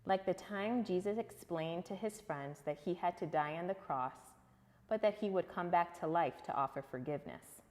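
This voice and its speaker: A woman reading a story aloud to children, expressive and emphatic, putting questions to her listeners. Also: fairly low pitch, normal speed, in a large hall.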